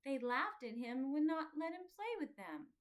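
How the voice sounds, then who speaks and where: Woman as thoughtful young storybook pilgrim boy, high voice. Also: big pitch swings, moderate pace. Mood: earnest, chatty. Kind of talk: children's storybook character voice.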